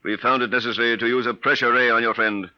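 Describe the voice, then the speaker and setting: A man playing a cold, formal Martian invader with measured, menacing politeness in 1950s radio drama style. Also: medium pitch, fairly even intonation, close to an everyday voice. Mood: coldly threatening. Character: cold, courteous male Martian invader and interrogator. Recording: muffled vintage 1950s radio recording.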